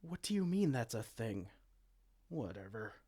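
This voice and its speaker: A man's voice: mid-range voice, very animated, a little slow, dark tone, breathy edge. A man playing a dorky, petulant evil king in a comedic fantasy roleplay, pompous, theatrical and exasperated. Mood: indignant scolding. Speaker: amateur roleplay audio performer.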